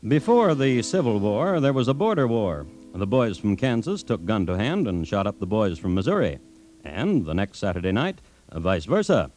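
A macabre American raconteur on a 1950s radio crime series. His voice is lowish and mellow, moving widely in pitch as he narrates with deliberate, dry irony. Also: big pitch swings, soft background music. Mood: wry, grave. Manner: deliberate, wry, dramatic pauses. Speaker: host and narrator.

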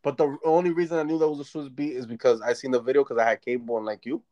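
Chill guy talking casually, cusses a lot, trails off mid sentence, regular mid pitch. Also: steady tone, racing, warm tone, lo-fi sound. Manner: laid-back and profane, trails off mid-thought. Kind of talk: casual chat.

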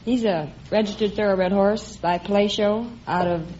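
A woman's voice: medium pitch, steady tone, moderate pace, warm tone. Polite young woman on dull old radio. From Massachusetts, United States. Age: young adult. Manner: polite, brief matter-of-fact answers. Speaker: quiz show contestant. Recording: dull vintage radio recording, slight noise.

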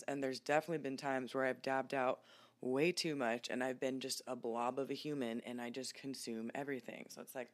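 A woman hosting a casual podcast, candid and chatty, laughing easily. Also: rumbling low. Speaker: podcast host.

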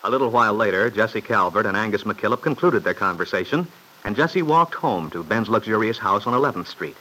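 Bright, forceful male radio-serial narrator. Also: fairly low pitch, expressive, fairly quick, poor audio. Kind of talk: scripted old-time radio soap narration.

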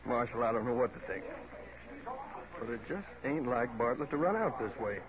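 A man's voice: mid-range voice, animated, moderate pace, natural voice. A man playing a plainspoken, loyal cowboy foreman in a radio western, with a laconic, folksy rural Western drawl. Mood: puzzled, plainspoken. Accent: rural Western American drawl. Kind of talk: 1950s radio western acting. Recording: muffled old radio, crowd behind.

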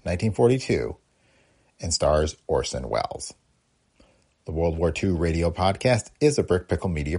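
A male podcast host reads a scripted introduction. His voice is very deep and gravelly, with a husky edge. The intonation moves around in a lively way.

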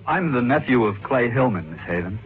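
A man's voice: medium pitch, big pitch swings, fairly quick, lightly acted. An actor playing a polite, earnest young male messenger, courteous and plain-spoken, in 1940s radio drama style. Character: polite, earnest young man delivering money. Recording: scratchy, tinny old radio recording.

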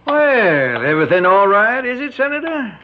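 A man's voice: high voice, sing-song, normal speed. An actor playing a menacing, folksy rural American father turned kidnapper in a radio drama, drawling with mock friendliness. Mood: mock-friendly. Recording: dull lo-fi radio recording.

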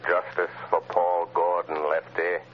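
An actor playing a commanding, mysterious crime fighter in old radio drama style, with deliberate, intense, ominous delivery. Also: male voice, deep voice, completely flat delivery. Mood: menacing, taunting. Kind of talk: 1930s-40s radio drama acting. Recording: muffled, noisy old radio recording.

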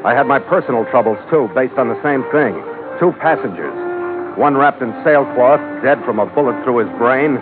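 A man's voice: mid-range voice, normal speed, lightly acted. An American man playing a weary, sardonic ship master in a 1940s radio adventure, with dry, clipped, hard-boiled delivery. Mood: weary, brooding. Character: weary, sardonic male ship master narrating his voyage log. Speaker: narrator and lead actor. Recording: muffled vintage radio broadcast, loud music.

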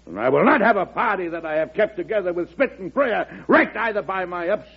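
A commanding older president in a vintage radio drama. He is shrewd and blustery, lecturing in a raised, husky voice that swings widely in pitch. The recording is worn and muffled. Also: expressive, brisk, full character voice. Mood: heated. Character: shrewd, hot-tempered, commanding older president, folksy political boss. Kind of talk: 1940s radio drama acting.

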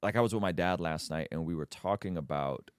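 Deep-voiced guy telling stories on a podcast, lots of restarts, dry sound. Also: fairly quick, smooth, no echo. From Azerbaijan, Armenian family. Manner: animated storyteller, many restarts. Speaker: podcast host. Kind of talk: casual conversation.

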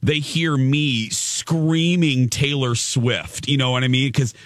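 A man hosting a radio morning show who talks loudly and theatrically, projecting his voice in easy banter. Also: medium pitch, steady tone, slow, no room sound, clean studio sound. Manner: loud, projecting, theatrical talker. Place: studio.

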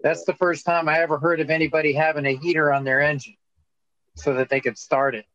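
Host: man, fairly high voice, dry close mic, teasing joker. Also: flat delivery, fast, a bit bright, no room sound, lo-fi sound. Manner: joking, teasing. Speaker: podcast host. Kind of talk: casual chat.

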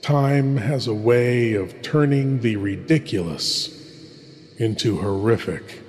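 A man's voice: mid-range voice, slow pace, darkish tone, natural voice. A man playing an ominous, theatrical lord who hosts a horror show, speaking in a sinister, portentous way. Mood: ominous, amused. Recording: long cavernous reverb.

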